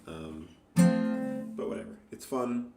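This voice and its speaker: A man's voice: low voice, slow pace, creaky, music in the background. Self-deprecating man chatting in an echoey room. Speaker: amateur musician. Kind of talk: casual, rambling chat between songs.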